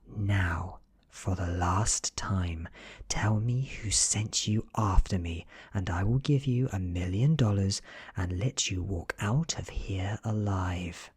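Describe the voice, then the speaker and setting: British man as an ancient female vampire, low-pitched, menacing, soft half-whispered threats, unhurried storybook delivery. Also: breathy edge, dry recording, exaggerated voice. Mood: cold threatening.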